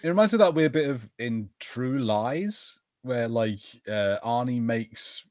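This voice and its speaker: A man's voice: medium pitch, animated, measured pace, darkish tone, dry recording, clean studio sound. A man co-hosting a podcast in casual watch-party chat. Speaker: podcast co-host.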